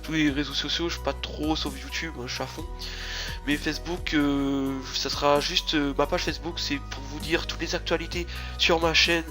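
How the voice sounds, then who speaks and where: A man's voice: fairly high pitch, fairly even intonation, moderate pace, music in the background. A young man with a stuffy, nasal voice from a cold, rambling casually with lots of fillers and restarts as he introduces his gaming channel.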